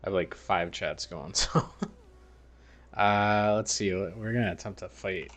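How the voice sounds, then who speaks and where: A man's voice: sits low, unhurried, fairly bright tone. A man hosting a game livestream, bantering casually and in slang with his chat in a laid-back manner. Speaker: livestream host.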